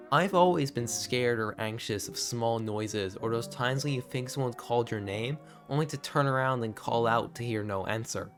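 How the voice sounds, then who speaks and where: A man narrating a scripted video essay in an expressive, informal and confiding way, with casual asides. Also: medium pitch.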